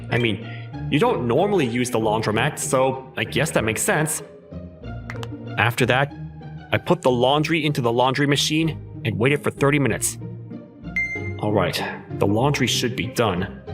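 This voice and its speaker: A man playing an exasperated, awkward teenage schoolboy, telling his story in an expressive, incredulous manga dub style. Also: medium pitch, lightly acted. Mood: resigned. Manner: expressive, incredulous, self-talking. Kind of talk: manga dub narration and dialogue. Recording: music behind the voice.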